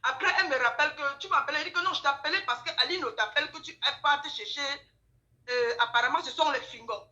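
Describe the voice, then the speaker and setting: High, bright Cameroonian French-speaking woman, emphatic. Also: fast talker, highs cut off, soft background music. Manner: animated, emphatic, repeats phrases, acts out dialogue. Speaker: guest telling her account. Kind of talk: casual spoken storytelling, gossip. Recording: roomy, echoing sound.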